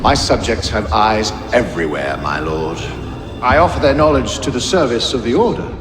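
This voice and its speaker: A man's voice: mid-range voice, animated, moderate pace, lightly acted. An actor playing a calculating crime lord of beggars, deferential to his superior, in a game cutscene. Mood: oily, deferential. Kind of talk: video game cutscene acting. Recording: echoey hall, loud music behind.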